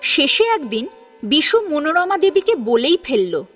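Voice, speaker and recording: A woman's voice: high-pitched, sing-song, measured pace. A woman narrating a story in a dramatic audiobook style. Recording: sitar music behind the voice. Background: plucked sitar music.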